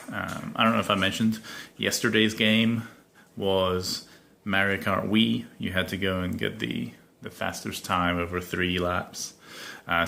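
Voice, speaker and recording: A man's voice: deep voice, creaky, bright, forceful tone. A young man from Scotland with a faded Scottish accent, rambling chattily through a solo podcast with lots of ums. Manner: rambling, chatty, many ums and self-corrections. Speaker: podcast host. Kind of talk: casual solo podcast chat.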